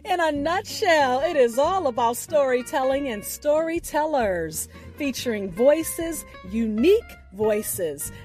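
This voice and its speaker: A high-voiced woman hosts a radio show with a scripted introduction. Her delivery is theatrical and unhurried, with very animated intonation, over a light music bed.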